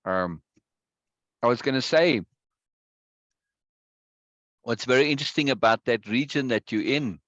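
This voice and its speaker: A man hosting a casual video-call chat, talkative and quick to repeat himself and laugh. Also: medium pitch, lively intonation, fairly bright tone, no echo, highs cut off. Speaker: meeting host.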